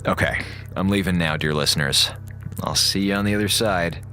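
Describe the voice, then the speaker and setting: An actor playing a wry, exasperated space station communications officer in a radio drama, sardonic and theatrical with dramatic pauses. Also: male voice, low-pitched, sharp tone, faint music behind. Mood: solemn, apprehensive. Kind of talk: radio drama monologue, log to listeners.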